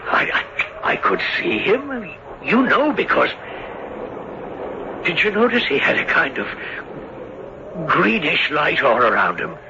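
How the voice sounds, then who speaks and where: A man's voice: fairly high pitch, huge pitch swings, slow, creaky, pauses often, exaggerated voice. A man acting in an old-time radio drama as an anxious, bewildered middle-aged father who stammers in agitation. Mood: bewildered, calling out. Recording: dull, hissy old radio recording.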